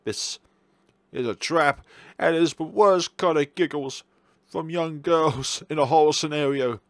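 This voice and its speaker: A man's voice: on the high side, a little slow, no echo. A man doing let's play commentary on a visual novel, with dry, sarcastic asides. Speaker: let's player reading a visual novel aloud. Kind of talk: gameplay commentary and dramatic read-aloud.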